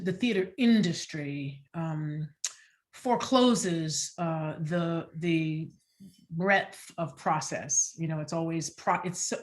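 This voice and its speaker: Female theater director on a panel, lower register, thoughtful, feeling her way through each phrase. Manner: halting, searching, thoughtful phrasing. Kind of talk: reflective panel discussion.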